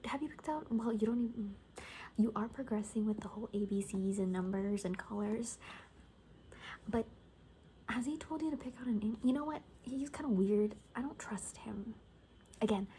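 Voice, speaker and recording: A woman playing a gossipy, rambling zombie apocalypse survivor in a soft-spoken, whispery ASMR roleplay, talking hesitantly and conspiratorially. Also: on the high side, slight background noise, lightly acted, poor audio.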